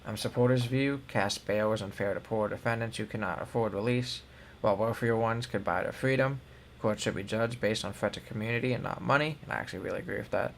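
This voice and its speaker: A man's voice: on the low side, fast, creaky, slight background noise. A young man co-hosting a news show, reading headlines and then giving loose, filler-heavy opinions. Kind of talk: casual news commentary.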